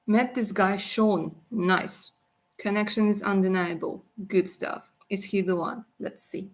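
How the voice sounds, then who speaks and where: A woman's voice: mid-range voice, steady tone, measured pace. A woman doing a live tarot reading on a stream, chatty and affectionate, calling viewers darling. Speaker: tarot reader.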